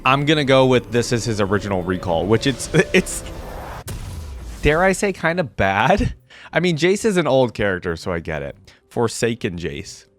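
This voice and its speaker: Deep-voiced man with lively, swinging pitch. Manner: slangy, musing aloud. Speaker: reaction video host. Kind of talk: casual off-the-cuff reaction commentary. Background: music and video game sounds.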